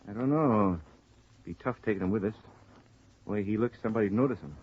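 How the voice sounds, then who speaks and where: Low, menacing radio gangster man. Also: a little fast, breathy edge. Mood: coolly commanding. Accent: American. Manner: curt, threatening, commanding. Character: tough, menacing male gunman in charge. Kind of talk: 1950s radio crime acting. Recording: muffled, noisy old radio broadcast.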